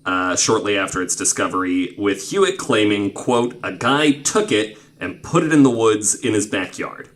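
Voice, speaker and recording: A man's voice: lowish voice, expressive, normal speed, hardly any pauses. A man in his twenties hosting a podcast, telling stories in a casual, informal, sweary way full of filler "like"s. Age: 20s. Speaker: podcast host. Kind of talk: casual conversational storytelling.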